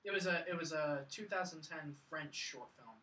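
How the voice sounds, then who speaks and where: A woman's voice: low-pitched, moderate pace, a bit bright, distant mic. A woman on a film podcast panel, chatting casually and supplying facts in a matter-of-fact way.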